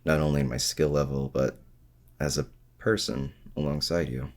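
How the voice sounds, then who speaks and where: A man acts out a supervillain in an intimate roleplay audio drama, with a very low voice full of gravel. He is a feared crook with hidden self-doubt, talking to his heroic rival in a casual, halting, confiding way. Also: fairly even intonation. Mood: vulnerable, grateful. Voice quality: very deep, gravelly.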